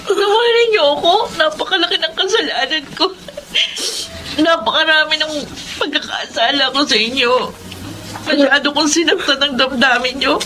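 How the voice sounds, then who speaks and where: A woman's voice: high voice, normal speed, background noise, natural voice. A woman playing an ambitious, headstrong young daughter in a Filipino radio drama, acting with melodramatic emphasis. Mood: remorseful, pleading.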